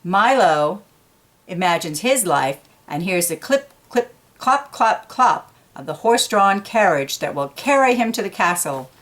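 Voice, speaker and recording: A woman's voice: medium pitch, a bit bright. Woman reading a picture book aloud. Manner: explains pictures in informal asides between read lines. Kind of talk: read-aloud storytelling with conversational asides to children.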